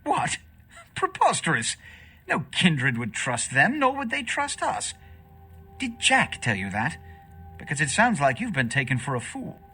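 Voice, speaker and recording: An actor playing a haughty, short-tempered vampire prince in a video game, speaking in a formal, theatrical way. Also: male voice, on the high side, huge pitch swings, measured pace, sharp tone, husky, full character voice. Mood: indignant.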